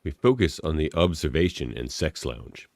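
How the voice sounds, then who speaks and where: A man's voice: rumbling low, expressive, normal speed, darkish tone, a little hiss or hum. A man narrating scene directions for a fan radio play, with joking asides.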